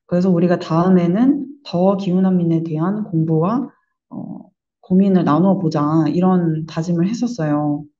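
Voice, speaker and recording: Woman, very mellow tone, reflective, hesitant phrasing, muffled lo-fi recording, group review discussion. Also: fairly low pitch, moderate pace. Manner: hesitant, searching for words, thoughtful. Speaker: member of a performing arts creative team.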